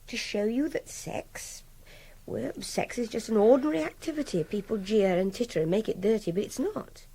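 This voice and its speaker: An actress playing an articulate young captive in a radio drama, earnest and emotionally direct with a breathy voice. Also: female voice, medium pitch, normal speed, mellow. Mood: frustrated, reasoning. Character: captive young woman, articulate, pleading, frustrated. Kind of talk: intimate radio drama dialogue.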